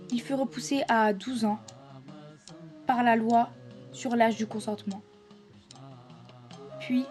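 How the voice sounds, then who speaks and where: A woman reads formal documentary narration slowly in a fairly high, soft-toned voice. There is faint music underneath. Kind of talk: formal scripted informative narration.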